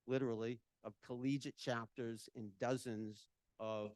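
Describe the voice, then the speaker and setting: Deep-voiced man addressing a roomy hall. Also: fairly even intonation, a little slow. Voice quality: deep voice that carries. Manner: loud, chatty, speaking without a microphone. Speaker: association chapter president opening an event. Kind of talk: welcoming remarks at a live event. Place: event room at a nonprofit center. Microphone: no microphone, recorded from the room. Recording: echoey room.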